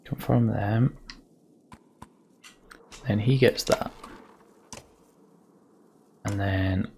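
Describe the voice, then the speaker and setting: Male let's-play host, lowish gravelly voice, muttering his way through a game with music underneath. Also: steady tone, drawn-out words, a little mellow, slight background noise. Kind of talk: casual game commentary and reading on-screen story text aloud. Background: video game music.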